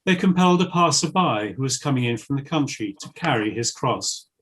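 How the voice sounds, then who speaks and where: Male narrator for a scripture reading: husky, medium-pitched, grave and formal. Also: expressive, a bit bright, rough recording. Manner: measured, formal.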